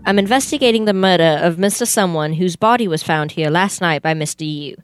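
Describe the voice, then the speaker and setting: A woman's voice: fairly low pitch, a little fast, smooth, faint music behind, close to an everyday voice. A young woman playing a polite, methodical male police detective in an amateur radio play. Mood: polite, puzzled. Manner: formal, questioning. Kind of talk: amateur old-time radio theater acting.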